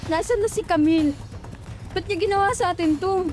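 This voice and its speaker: A woman's voice: very high-pitched, brisk, a little mellow. A young woman acting a frightened, breathless girl running from a monster in a horror audio drama. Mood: breathless pleading. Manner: panicked, pleading.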